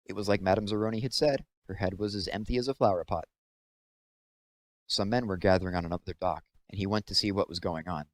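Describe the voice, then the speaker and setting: A man's voice: low-pitched, fast, low-quality recording. A man narrating a children's novel as a read-aloud, in scripted storytelling style.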